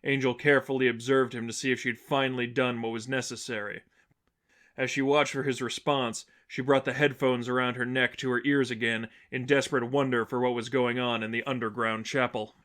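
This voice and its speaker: A man's voice: medium pitch, little pitch movement, a little fast, a bit bright. A man reading an action story aloud as scripted narration. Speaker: narrator reading fan fiction.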